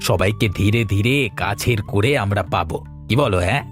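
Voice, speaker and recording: A man voicing an eager, easily spooked village husband in a cartoon, chatty and expressive. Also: lowish voice, normal speed, soft background music, lightly acted. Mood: cheerful, eager. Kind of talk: Bengali cartoon dialogue acting.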